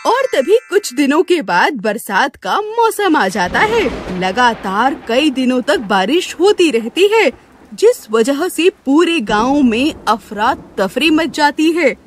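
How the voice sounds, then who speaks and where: A woman narrating a scripted children's moral story in an expressive, sympathetic way. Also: high-pitched, slow, a little hiss or hum. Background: music.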